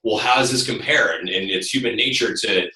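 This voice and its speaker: A man with a booming voice, a fitness trainer explaining things casually and emphatically on a call, a little away from his computer microphone. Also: a little fast, pushed and bright. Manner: direct, emphatic, often says "look". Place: echoing room, online call. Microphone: computer, some distance away. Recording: roomy, distant computer microphone.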